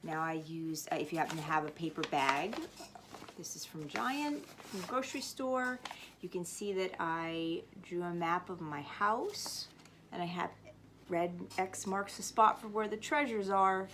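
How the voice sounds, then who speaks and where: Woman storyteller and teacher over clear background noise. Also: on the low side, animated, a little slow. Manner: instructive, step-by-step explaining. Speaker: teacher reading a storybook and showing a craft. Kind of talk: read-aloud storytelling and casual how-to demonstration.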